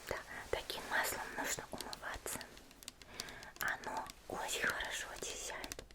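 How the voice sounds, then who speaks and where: A woman playing a cheerful, caring young female warrior in an ASMR spa roleplay, whispering gently and chattily without hurry. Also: close to an everyday voice. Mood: gentle, explanatory. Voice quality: breathy unvoiced whisper. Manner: gentle, unhurried, chatty, soft hesitations.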